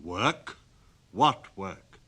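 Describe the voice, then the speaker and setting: A man voicing a stern, mocking goblin in a storybook reading, loud, slow and menacing. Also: sits low, expressive, slightly rough, voice pushed down, exaggerated voice.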